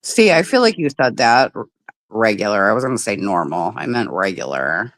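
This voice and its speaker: A woman's voice: very deep voice, sing-song, normal speed, no room sound, studio quality. A woman from the Midwest of the United States hosting a casual livestream chat, talking loosely, swearing freely and laughing often. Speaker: livestream host.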